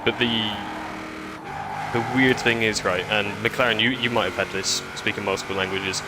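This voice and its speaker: Low-voiced man chatting while gaming. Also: steady tone, heavy background noise. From Scotland. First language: English. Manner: halting, often repeats words while restarting sentences. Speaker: racing game streamer. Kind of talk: casual chat while live streaming a racing game. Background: music and race car sounds.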